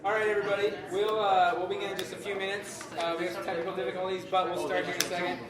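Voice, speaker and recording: A man hosting a podcast, making informal, halting announcements in an apologetic way. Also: high-pitched, little pitch movement, a crowd in the background. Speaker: podcast host. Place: live show at a comic shop, before an audience. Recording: roomy, distant mic, faint noise.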